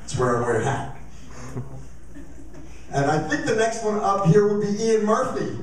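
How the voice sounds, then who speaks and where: A man's voice: high-pitched, sing-song, normal speed. A man casually introducing performers from a stage as emcee. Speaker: emcee introducing a theater troupe. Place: performing arts center stage. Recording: loud noise and crowd, echoey, distant. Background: loud audience noise.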